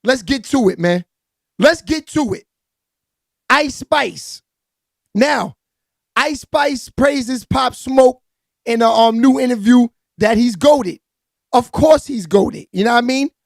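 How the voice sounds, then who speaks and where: A raspy-voiced man hosting a live entertainment news stream, giving hyped, slangy hip-hop gossip commentary with lots of shout-outs to viewers. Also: very high-pitched, slow, long pauses, dry recording, studio quality.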